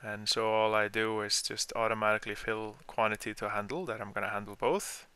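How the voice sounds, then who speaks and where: A man explaining software step by step in an informal screen-share tutorial, as the trainer. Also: fairly low pitch, steady tone, a little fast, clear, resonant tone, no echo.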